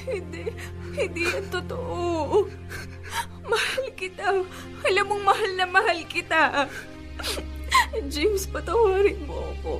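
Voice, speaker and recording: An actress playing a devoted, coaxing young girlfriend in a Filipino radio drama, with a Filipino accent. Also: very high-pitched. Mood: tearful pleading. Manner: emotive, coaxing, teasing. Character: pleading, playful young girlfriend, devoted and sweet.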